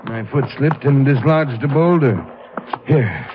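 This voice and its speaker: Suave, over-polite radio suspect, muffled. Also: male voice, mid-range voice, expressive, slow. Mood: smoothly apologetic. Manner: formal, suave, apologetic. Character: smooth, over-polite male suspect on a climbing party. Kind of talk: 1950s radio adventure acting. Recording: muffled, noisy old radio recording.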